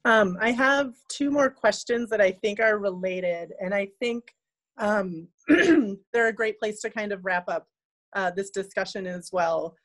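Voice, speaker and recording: A woman in her forties moderating an online talk from an office, reading out questions with some fillers. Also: medium pitch. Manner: measured, reads questions aloud with fillers. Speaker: interviewer, art center host. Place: office with bookshelves. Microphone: laptop webcam, video call.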